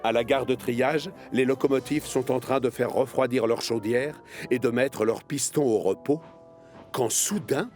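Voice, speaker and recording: Male storyteller over clear music. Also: medium pitch, unhurried, a little mellow. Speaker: narrator. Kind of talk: scripted children's story narration. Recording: music behind the voice.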